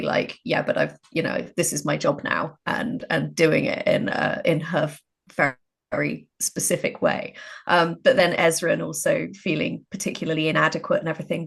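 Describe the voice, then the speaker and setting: A woman chatting casually as a writer, discursive and halting as she thinks aloud. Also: deep voice, creaky, slightly rough. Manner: halting, discursive, frequent filler phrases. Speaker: writer and co-interviewer. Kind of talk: casual interview conversation.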